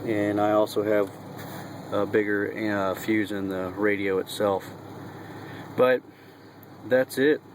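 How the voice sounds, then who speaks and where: A man's voice: on the low side, little pitch movement, takes it slowly, long pauses. A man giving a casual, folksy how-to walkthrough in and around a vehicle, talking in colloquial speech to a handheld camera. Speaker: truck driver and hobbyist demonstrator. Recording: noisy vehicle and engine hum.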